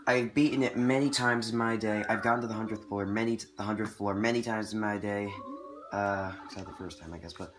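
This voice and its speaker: A man's voice: fairly low pitch, steady tone, brisk, background noise. A man with a cold, losing his voice, chatting casually as he plays and comments on a video game. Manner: chatty, self-correcting, reacting to the game. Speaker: let's play host. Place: indoor room with TV, filmed on camera. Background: game music.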